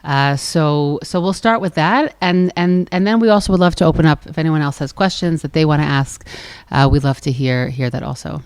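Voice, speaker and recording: A woman in her thirties with a calm, soft-spoken voice, hosting a live podcast conversation in front of an audience. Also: deep voice, fairly quick, clear, resonant tone, dry recording. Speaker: podcast host. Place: live event before an audience.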